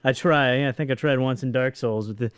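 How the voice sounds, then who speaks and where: A man with a smooth, resonant voice chats casually over gameplay, close to the mic in a dry sound. He talks in an easygoing way, restarting phrases and filling pauses. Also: mid-range voice, dry recording. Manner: easygoing, hesitant, many restarts and fillers, laughs often. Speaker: co-host of a gaming channel, programmer.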